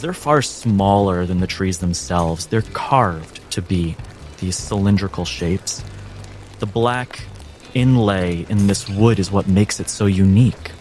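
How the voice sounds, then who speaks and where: A man acting as game master, narrating a tabletop game cinematically in measured, deliberate phrases. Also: low-pitched.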